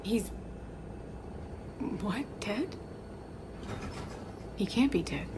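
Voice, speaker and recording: A woman's voice: mid-range voice, expressive, noisy background. An actress playing an earnest, shaken female archaeologist in a video game, speaking in a hesitant, scholarly way. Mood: stunned, grieving. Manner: hesitant, halting delivery. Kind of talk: cinematic video game dialogue.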